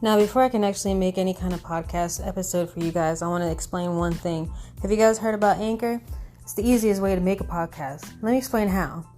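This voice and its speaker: A woman's voice: mid-range voice, quick pace, warm tone. A woman podcaster giving an informal sponsor read, addressed straight to listeners. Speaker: podcaster reading a sponsor message. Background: music.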